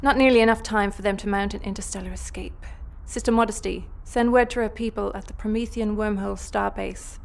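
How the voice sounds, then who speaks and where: Menacing female galactic villain, played by an actress, gravelly fry, measured, icy authority, sci-fi drama. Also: medium pitch, fairly even intonation, natural voice. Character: cold, commanding female villain warlord, calmly menacing. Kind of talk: sci-fi audio drama acting.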